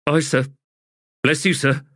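A man's voice: a little high, fairly even intonation, a little slow, bright, forceful tone, no room sound, clean studio sound, pitched above the performer's own voice. A man voicing a grizzled, respectful marine corporal in an audiobook, with clipped and deferential replies. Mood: grateful. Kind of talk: audiobook character acting.

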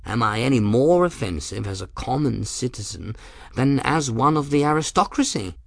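A man voicing a bookish, distracted middle-aged gentleman in a storybook, mild, wordy and given to absent-minded muttering. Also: mid-range voice, animated, moderate pace, gravelly, no echo, studio quality. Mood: mild amusement.

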